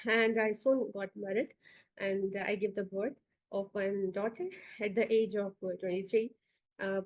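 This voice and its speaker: A woman's voice: mid-range voice, darkish tone, highs cut off. A woman in her forties with a Pakistani accent, giving an online orientation talk on a video call with many hesitant fillers. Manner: hesitant, many fillers, directs slide changes. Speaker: institute founder giving orientation.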